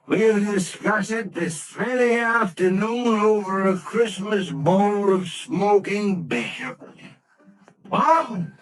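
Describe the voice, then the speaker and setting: An actor playing an old miser turned joyful in a vintage radio play, swooping from gruff growls to high excited bursts. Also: male voice, low-quality recording. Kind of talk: 1940s radio acting. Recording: old radio broadcast with music.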